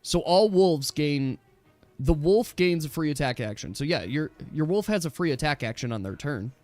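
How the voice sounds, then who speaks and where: A man's voice: on the high side, lively intonation, normal speed, a little mellow, no room sound. A man acting as game master in a casual tabletop game, explaining rules step by step. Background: background music.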